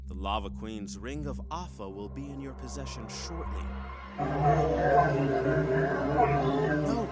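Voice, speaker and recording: An actor playing a commanding, smooth-talking mission leader with a secret scheme, authoritative and theatrical in a sci-fi audio drama. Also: male voice, mid-range voice, lively intonation, moderate pace, loud music behind the voice, natural voice. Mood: secretive.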